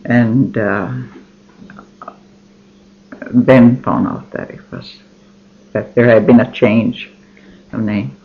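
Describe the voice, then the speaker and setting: Older guy, finnish first language, talks real slow w lots of uhs, muffled old tape sound. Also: mid-range voice, steady tone. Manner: slow, halting, many ums and restarts. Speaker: interviewee. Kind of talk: oral history interview. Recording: dull lo-fi recording.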